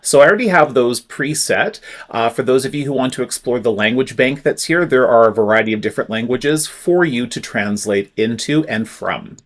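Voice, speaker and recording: A man walks listeners through a computer tool one step at a time, with clear, patient instructions. His voice sits at an ordinary middle pitch, neither high nor low. He sounds like he is talking into a desk microphone while recording his screen. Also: normal speed, few pauses. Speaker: tech tutorial presenter. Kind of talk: screen-recorded software tutorial.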